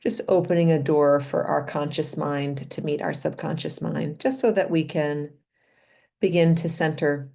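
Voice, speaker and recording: Low-pitched, mellow woman guiding a meditation. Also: fairly even intonation, gravelly. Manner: gentle, unhurried, pausing between short phrases. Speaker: meditation leader and physician. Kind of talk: guided meditation.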